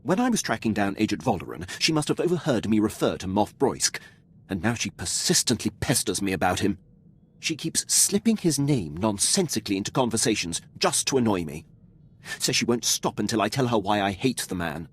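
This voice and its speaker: An actor playing a dutiful Imperial military officer in a video game, speaking with precise, deferential formality. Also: male voice, on the low side, sing-song, a little fast, breathy edge. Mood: stiff annoyance. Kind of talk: scripted video game dialogue.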